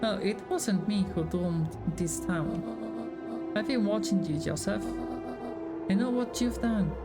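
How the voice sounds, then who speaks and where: A woman's voice: mid-range voice, unhurried. A woman streamer reading visual novel dialogue aloud in character. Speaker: streamer voicing game dialogue. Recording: game music behind the voice. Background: game music.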